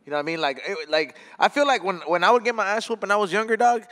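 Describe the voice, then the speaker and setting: A man co-hosting a podcast in casual chat, profane and laughing, with quick asides in Spanish. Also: sits high, lively intonation, fairly bright tone. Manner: profane, laughing, slips into Spanish for quoted parents. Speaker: podcast co-host. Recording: hall reverb.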